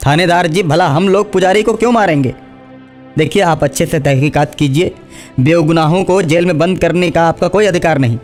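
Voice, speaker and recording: A man's voice: a little high, moderate pace, darkish tone, lightly acted. Man acting an assertive village headman, music behind. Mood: indignant, firm. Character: assertive, level-headed male village headman, indignant at injustice. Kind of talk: Hindi animated horror story acting.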